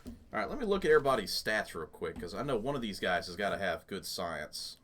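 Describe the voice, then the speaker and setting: A man's voice: low voice, very animated, fairly quick, a bit bright. A man giving casual, jokey let's play commentary, muttering to himself as he goes, as the host of a gaming channel.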